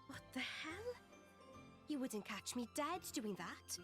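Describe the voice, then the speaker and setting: A feisty, high-voiced young girl. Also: very animated, takes it slowly, fairly bright tone, soft background music, heavily acted. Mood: exasperated. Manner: brash, exasperated, teasing. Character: feisty, sharp-tongued young cat-eared girl, grudgingly friendly. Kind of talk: video game cutscene acting.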